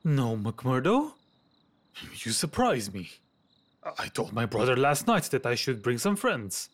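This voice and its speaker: A man playing a fussy, anxious Victorian gentleman in an audio drama, hesitant and stammering as he talks. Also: medium pitch, sing-song, a little slow. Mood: flustered, indignant.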